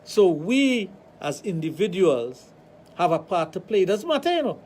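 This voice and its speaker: A man with a Trinidadian accent, hosting a radio talk show and telling animated stories with acted-out dialogue. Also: high-pitched, moderate pace. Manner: animated storytelling, acts out dialogue. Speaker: radio show host, sexologist.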